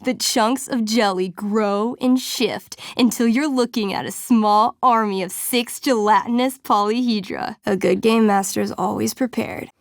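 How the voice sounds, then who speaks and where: A woman's voice: medium pitch, fairly even intonation, no room sound, studio quality, natural voice. A woman voicing a young game master in a video game, narrating a fantasy tabletop adventure with playful drama and suspense. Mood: playfully dramatic. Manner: theatrical, suspenseful, deliberate storytelling.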